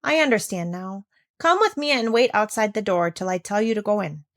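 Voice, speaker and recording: A woman's voice: medium pitch, expressive, brisk, close to an everyday voice. A woman voicing a discreet, obliging manservant in a storybook, matter-of-fact as he gives word. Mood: conspiratorial.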